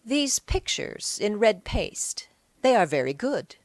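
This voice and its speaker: Woman doing a shrewd egyptian slave detective guy playing fortune teller, measured n probing, audiobook style, pretty expressive. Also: mid-range voice, no echo, voice pushed up. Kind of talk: audiobook character acting.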